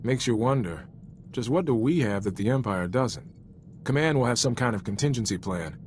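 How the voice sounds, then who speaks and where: A man's voice: medium pitch, animated, normal speed, darkish tone, a little hiss or hum, lightly acted. A man playing an earnest, loyal Republic soldier in a video game, speaking frankly in an informal, sincere military manner. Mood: reflective, resolute. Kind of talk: cinematic video game voice acting.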